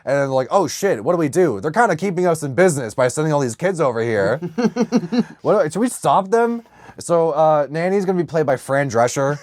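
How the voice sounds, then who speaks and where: A man co-hosting a podcast in casual comedic banter, swearing freely and telling stories in an animated, riffing way. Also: on the high side, normal speed, no echo. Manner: profane, riffing, animated storytelling. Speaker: podcast co-host. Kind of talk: casual comedic banter about films and comics.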